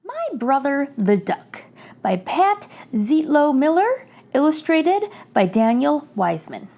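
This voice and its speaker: A woman reads a picture book aloud for children. Her voice is high and she takes her time, phrasing each line deliberately. The intonation swings widely in an animated storytelling style. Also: soft background music.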